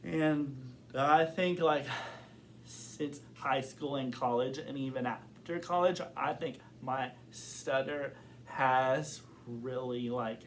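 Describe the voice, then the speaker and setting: A young man who stutters talks slowly and carefully, repeating words and leaning on fillers as he works through his thoughts. Faint background hiss sits under his voice. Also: medium pitch. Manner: thoughtful, open. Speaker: interviewee. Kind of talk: casual interview.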